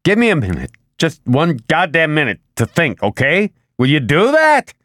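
A man's voice: lowish voice, big pitch swings, moderate pace, dry recording, clean studio sound. A man voicing a frazzled, indignant man who pleads urgently in an audiobook. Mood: exasperated. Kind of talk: audiobook character dialogue.

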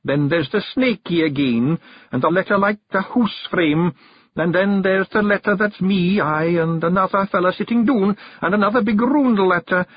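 A man voicing a fierce, bossy little male fairy clan chief with a gruff, high Scottish brogue. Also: moderate pace, no echo, dull audio. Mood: boastful.

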